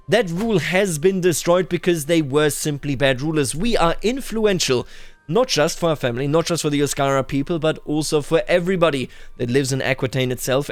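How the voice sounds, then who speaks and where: Higher-voiced man commentating over music. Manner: musing aloud, repeats phrases for emphasis. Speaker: gaming video host. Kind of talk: improvised roleplay game commentary. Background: background music.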